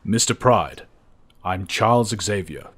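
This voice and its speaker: A man's voice: fairly low pitch, expressive, slow pace, a bit bright, exaggerated voice. A man playing a composed, formal and courteous professor in an audio drama.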